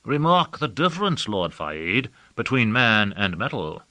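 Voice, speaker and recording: A man voicing a haggard, learned conjurer in an audiobook, lecturing in a measured, didactic manner. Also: lowish voice, sing-song, pushed and bright, no echo, full character voice. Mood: grave, explaining. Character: haggard, learned male demon-conjurer lecturing his lord.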